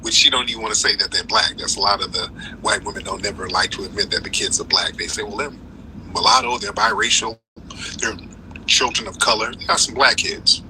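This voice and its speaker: A man's voice: on the low side, a little fast, a little hiss or hum, low-quality recording. A man hosting a live call-in stream who argues hard with callers, cuts them off and repeats himself. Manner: forceful, interrupting, repeats "no, no". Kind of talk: heated live call-in debate.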